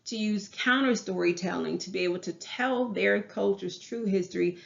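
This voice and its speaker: Woman, Southern US accent, thirties, teacher presenting, earnest, gravelly, muffled recording. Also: mid-range voice, normal speed. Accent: Southern US English. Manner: earnest, repeats and restarts phrases. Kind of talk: class presentation.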